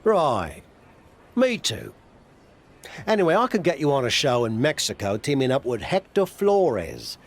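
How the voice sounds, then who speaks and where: A man's voice: medium pitch, wildly swooping pitch, unhurried, exaggerated voice. A man playing a wry, blunt older wrestler turned manager in video game cutscene dialogue. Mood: wry, dealing.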